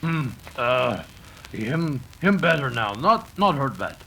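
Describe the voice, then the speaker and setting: Stoic western sidekick, slow gravelly terse broken english, old radio show. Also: male voice, mid-range voice, very animated, noisy background, exaggerated voice. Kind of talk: 1930s western radio acting.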